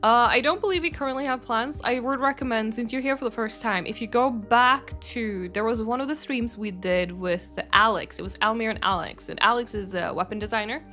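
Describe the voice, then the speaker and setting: A woman's voice: on the high side, fairly quick, hardly any pauses, pushed and bright, soft background music. A young woman chatting on a livestream as a game studio's community manager, answering viewer questions in a rambling way with lots of fillers and self-corrections. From Sweden. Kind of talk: casual livestream chat reading viewer questions.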